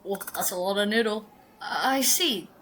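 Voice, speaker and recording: A quiet young office worker who answers in a flat, wry way, as a woman reads him. The voice sits low and measured, with soft keyboard clicks behind it. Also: a little hiss or hum, close to an everyday voice. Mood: dry.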